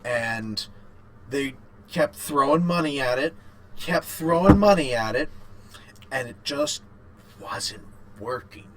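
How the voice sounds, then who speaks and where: A man co-hosting a podcast in casual chat, rambling and haltingly repeating his phrases. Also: mid-range voice, expressive, a little hiss or hum, poor audio. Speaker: podcast co-host. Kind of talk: casual chat about video games.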